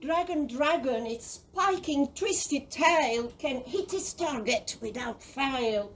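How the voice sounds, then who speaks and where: A woman's voice: high-pitched, expressive, slow, a little hiss or hum. A woman playing a distrustful, melodramatic villager in an audio play. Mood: dramatic alarm. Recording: far from the mic.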